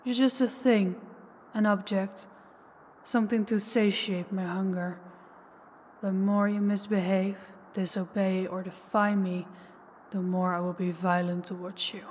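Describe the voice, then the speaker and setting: A woman's voice: mid-range voice, fairly even intonation, a little slow, darkish tone, in a large hall, a little hiss or hum, lightly acted. A woman playing a menacing, possessive ancient female vampire in an intimate audio roleplay, taunting and commanding, sometimes dropping toward a whisper. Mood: commanding, cold threat. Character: possessive, menacing older female vampire, toying with captive huntress.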